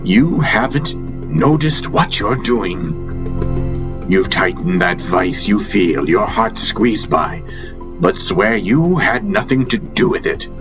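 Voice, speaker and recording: A loving, exasperated spiritual master acted out by a man admonishes in a fantasy audiobook. The voice is low and slow, preaching with emphatic pauses, and clear music plays behind it. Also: very animated, heavily acted. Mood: patient reproach. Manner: emphatic, preaching, pausing between phrases. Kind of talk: dramatic fantasy audiobook dialogue.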